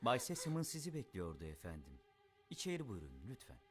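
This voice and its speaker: A man's voice: lowish voice, wildly swooping pitch, a little fast, over music. A man voicing a polite, dutiful butler in a dubbed cartoon, formal and deferential.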